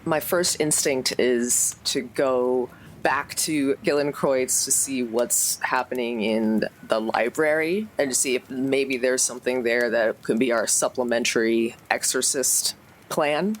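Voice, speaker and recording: A woman's voice: extremely low pitch, slight background noise. A woman playing a tabletop roleplaying game, casually suggesting plans in a diplomatic, tentative way.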